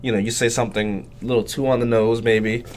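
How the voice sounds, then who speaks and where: A young adult man co-hosting a casual podcast, congested from a cold, talking softly and hesitantly from a little way off the mic. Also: mid-range voice, level tone, a little fast. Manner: hesitant, many fillers, soft-spoken. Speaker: podcast co-host. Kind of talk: casual chat. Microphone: sitting far from the mic.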